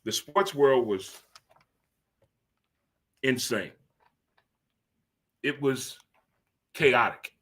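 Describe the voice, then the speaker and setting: A man in his fifties hosting a livestream sports talk show, rambling and animated, teasing himself and asking "huh?". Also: mid-range voice, very slow, long pauses. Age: 50s. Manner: talks to himself by name. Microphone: streaming studio mic.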